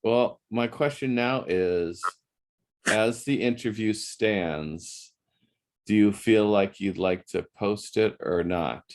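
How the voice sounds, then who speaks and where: A man's voice: fairly low pitch, slow pace. A man hosting an interview, speaking reflectively and repeating words as he searches for the right ones. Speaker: interview host. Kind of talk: casual interview chat.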